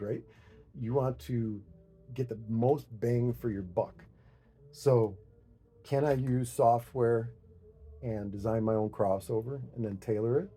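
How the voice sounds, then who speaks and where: A man's voice: on the low side, warm tone. A middle-aged man giving a casual, unscripted DIY explanation, thinking aloud in a rambling, self-deprecating way. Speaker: DIY hi-fi hobbyist presenter.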